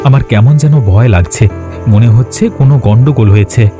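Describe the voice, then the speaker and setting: A man's voice: mid-range voice, normal speed, lightly acted. A man voicing an honest, timid husband who warns his greedy wife. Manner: anxious, scolding. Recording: voice over music.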